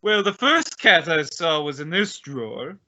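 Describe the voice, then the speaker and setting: A man acts out a blustering male school principal in an old-time radio comedy. His voice is piercingly bright and high, swooping about as he stammers with pompous indignation. The recording is dull and lo-fi. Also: big pitch swings, unhurried, heavily acted. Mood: nervously jovial.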